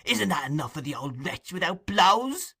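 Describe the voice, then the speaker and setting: A man acting a desperate young thief girl from a classic storybook, her voice pushed high and sharp. She is furious and hysterically passionate, pouring out words in vehement, loud outbursts. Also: very animated, normal speed, creaky, husky, heavily acted. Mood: passionate rage. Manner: vehement, torrential, screaming outbursts.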